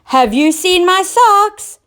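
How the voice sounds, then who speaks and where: A woman's voice: very high voice, expressive, takes it slowly, fairly bright tone, voice pushed up, exaggerated voice. A woman voicing a kind, easily flustered king in a children's storybook, calling out anxiously. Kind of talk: children's storybook character reading.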